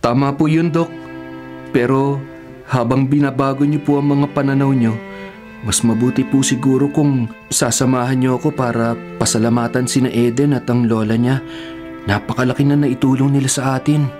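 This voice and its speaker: A gentle younger man, played by an actor speaks politely and earnestly. His measured, medium-pitched voice has a radio drama delivery, with music underneath. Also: fairly even intonation, lightly acted. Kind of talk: Filipino radio drama acting.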